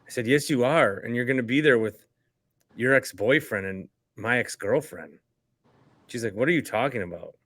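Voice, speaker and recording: A middle-aged man hosts a sports podcast with an easy conversational delivery. His voice sits at an ordinary male pitch. The sound is very dry, with hardly any room in it. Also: lively intonation, moderate pace, fairly bright tone, no echo. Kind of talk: casual sports chat.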